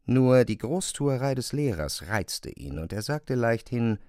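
A man's voice: mid-range voice, sing-song, unhurried, warm tone, no room sound, studio quality. A man narrating a classic novel as scripted literary prose.

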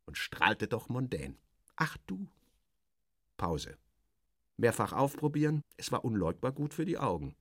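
A man narrating literary prose in the first person, wry, clipped and expressive. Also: medium pitch, moderate pace, no echo, studio quality.

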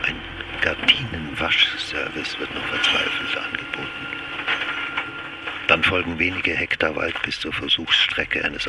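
A brooding observer narrates in a radio drama. His extremely deep voice is dry and observational, amid heavy vehicle noise and radio sound. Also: creaky, full character voice. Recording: loud car and radio noise.

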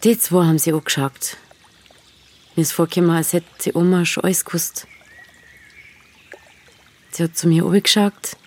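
A woman's voice: on the low side, steady tone, moderate pace, pauses often, a little mellow, natural voice. An actress playing a blunt, earthy young village woman with a Bavarian accent in a radio drama, dry and plain-spoken. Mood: wry, wistful. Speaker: lead actor and narrator. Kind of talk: radio drama narration and dialogue.

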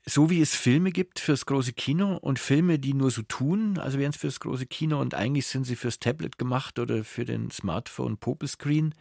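A man's voice: mid-range voice, normal speed, gravelly, few pauses, darkish tone, no room sound. A man hosting a radio interview, putting questions and presenting in a studio.

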